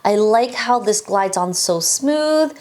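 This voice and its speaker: A woman's voice: mid-range voice, expressive, takes it slowly, darkish tone. A young woman beauty vlogger talking casually to camera about products, her voice nasal and congested from allergies, chatty and upbeat. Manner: chatty, upbeat, repeats and restarts phrases.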